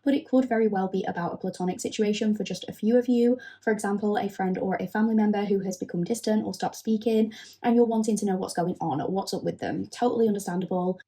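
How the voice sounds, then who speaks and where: A woman recovering from a cold, gently and thoroughly introducing a tarot reading. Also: medium pitch. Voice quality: husky. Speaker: tarot reader.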